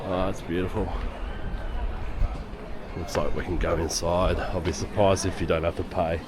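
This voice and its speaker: A man with a hoarse voice from a lingering cough, giving chatty walking-tour commentary on busy city streets. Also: deep voice, flat delivery, moderate pace. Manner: chatty, rambling, with fillers. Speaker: travel vlogger. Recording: outdoor street noise. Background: city street noise and traffic.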